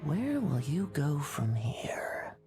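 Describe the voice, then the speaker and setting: A woman playing a sly, menacing predator in a video game, taunting prey in a drawn-out, creaky, breathy voice. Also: deep voice, big pitch swings, warm tone, soft background music, full character voice.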